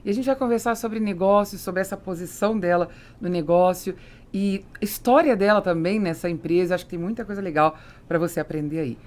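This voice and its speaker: A woman's voice: lowish voice, brisk, a little mellow. A woman with a Brazilian accent hosting a conversational business interview. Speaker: podcast host interviewing a guest.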